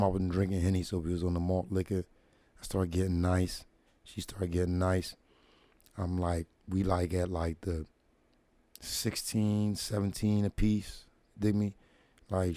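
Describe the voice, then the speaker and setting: A man hosting his own show, telling a long story in a relaxed, slangy monologue with frequent "dig me" asides and a put-on radio voice. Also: dry recording. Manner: deliberately deep "radio voice". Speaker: show host. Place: at home, relaxing with feet up.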